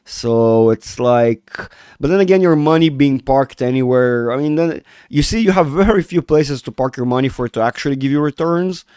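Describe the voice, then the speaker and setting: A man's voice: medium pitch, normal speed, a little mellow, smooth, no echo, studio quality. Rambling male livestream host with a cold. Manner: rambling, self-interrupting, reads chat aloud. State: has a cold, voice rough. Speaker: market analysis livestream host. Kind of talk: casual livestream chat with viewers.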